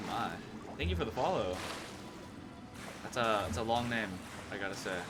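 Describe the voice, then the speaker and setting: A man's voice: fairly low pitch, expressive, moderate pace. A man giving casual live commentary while streaming a video game. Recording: loud game music behind voice. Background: video game music and sounds.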